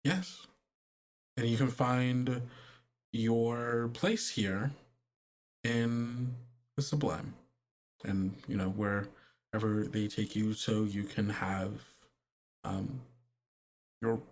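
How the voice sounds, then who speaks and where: Religious sister, acted by a man: patient, solemn, softly insistent, formal halting delivery, tabletop game. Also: medium pitch, lightly acted. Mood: gentle, solemn. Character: gentle, insistent female religious authority, Sister May.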